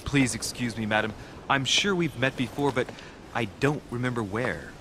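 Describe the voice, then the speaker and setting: A man's voice: normal speed, bright, forceful tone, slight background noise, lightly acted. A man playing a polite, self-assured young French diplomat in a video game, formal and questioning. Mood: apologetic. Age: young adult. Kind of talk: video game cutscene acting. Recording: constant rumbling ambience behind.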